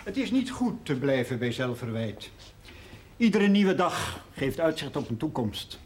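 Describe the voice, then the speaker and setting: A modest, self-deprecating former schoolmaster in a period television drama. He speaks earnestly and formally, in a fairly high male voice. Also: very animated, measured pace, darkish tone, a little hiss or hum. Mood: earnest, reflective.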